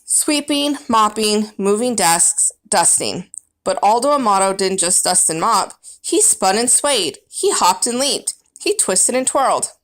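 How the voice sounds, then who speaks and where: A woman's voice: mid-range voice, animated, measured pace, fairly bright tone. A woman reading a children's book aloud as first-person narrator, with dramatic, emphatic storybook phrasing.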